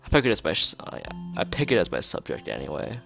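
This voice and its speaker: A man's voice: sits low, wildly swooping pitch, fast talker, vocal fry, fairly bright tone, soft background music. A man reading a visual novel aloud in an informal let's play, stumbling over words and restarting phrases, with off-the-cuff asides.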